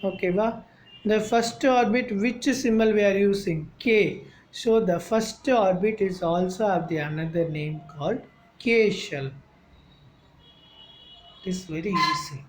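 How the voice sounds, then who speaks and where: A woman with a Tamil accent teaching chemistry, talking slowly and checking in with her listeners. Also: mid-range voice, low-quality recording. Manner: slow, repeats questions like "Okay, va?" to students. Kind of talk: classroom lecture. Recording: faint background noise.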